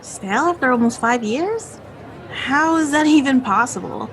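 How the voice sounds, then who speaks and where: An actress in an audio drama playing an amused, teasing friend in a gossip session. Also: female voice, high voice, expressive, moderate pace, fairly bright tone, rough recording. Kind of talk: contemporary audio drama acting. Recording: crowd and street ambience behind.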